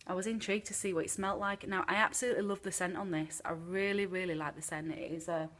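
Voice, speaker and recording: A woman's voice: on the low side, steady tone, fast, a bit bright. A woman with a British accent giving a casual, frank candle review, a little chesty from a cold. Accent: British English. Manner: chatty, frank, many ums. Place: home room with candles burning.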